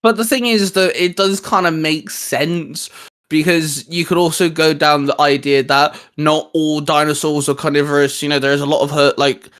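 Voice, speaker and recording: A man's voice: on the high side, fairly even intonation, moderate pace, a bit bright. A man co-hosting a casual, geeky group discussion, jokey and informal, often probing with questions. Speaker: co-host of a fan discussion show.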